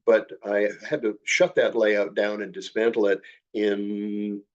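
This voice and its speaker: A man's voice: fairly low pitch, flat delivery, muffled recording. Older man with a congested, nasal voice. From Canada. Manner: measured, frequent uh and um fillers. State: congested from a cold, slightly nasal. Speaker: model railroad clinic presenter. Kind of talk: slideshow clinic talk.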